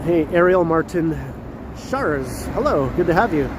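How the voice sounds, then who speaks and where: Guy with a stuffed up nose, kinda high voice, chatty, laughs a lot, street traffic noise behind him. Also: expressive, a little slow. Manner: chatty, laughs often, greets chat readers by name. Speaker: livestream host. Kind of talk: casual walking livestream chat. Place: city street outdoors at night, with traffic.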